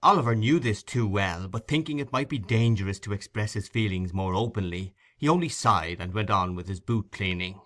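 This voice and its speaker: A man reading a classic novel as an expressive audiobook narrator. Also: lowish voice, normal speed, few pauses. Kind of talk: expressive scripted literary narration.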